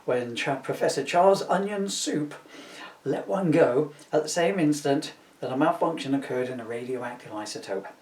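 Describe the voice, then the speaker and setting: A man's voice: a little high, moderate pace, a little mellow. Chatty middle-aged man in an echoey room. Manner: jokey, rambling asides, amused by his own jokes. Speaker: author reading from his own children's book. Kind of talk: informal, chatty video book reading. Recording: roomy echo, distant microphone.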